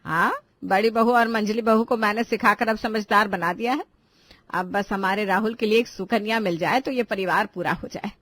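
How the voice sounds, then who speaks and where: A woman voicing a bossy, fussy middle-aged mother-in-law in a cartoon family drama, nagging and quick to take offence. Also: medium pitch, fairly bright tone, no room sound, lightly acted. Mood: eager, welcoming. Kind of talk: animated family drama voice acting in Hindi.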